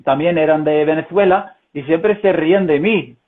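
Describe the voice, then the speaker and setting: Guest voice: a man with a fairly high voice and a learned Castilian Spanish accent, German first language, halting with lots of "eh"s, heard over a thin, phone-like video call. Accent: Castilian Spanish, learned as a second language. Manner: hesitant, many fillers and restarts. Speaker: interview guest, translator. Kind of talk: conversational interview. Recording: tinny phone-like call audio.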